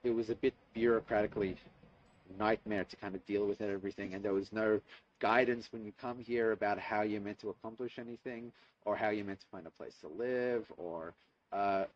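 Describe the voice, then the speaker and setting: A young man, answering interview questions about his studies in a thoughtful, hesitant way, with many fillers and restarts. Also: on the low side. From Australia. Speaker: interview guest, archaeology student. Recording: distant mic, muffled lo-fi sound.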